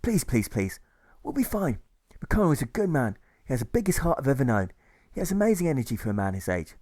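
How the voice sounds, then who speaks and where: A man voicing a calm male prisoner soothing his friends. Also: medium pitch, fast talker, no room sound, clean studio sound, voice pushed up. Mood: reassuring.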